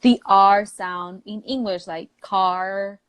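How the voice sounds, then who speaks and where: A woman's voice: medium pitch, steady tone, slow, fairly bright tone. A native Spanish-speaking woman with a Mexican accent, an English teacher chatting casually and thoughtfully as a guest on a live stream. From Mexico, near the US border.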